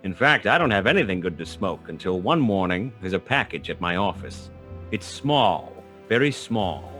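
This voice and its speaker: An actor playing a wry, hard-boiled private detective, narrating a noir radio drama in a dry, sardonic way. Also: male voice, deep voice, sing-song, moderate pace, a bit bright, faint music behind. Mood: wry narration.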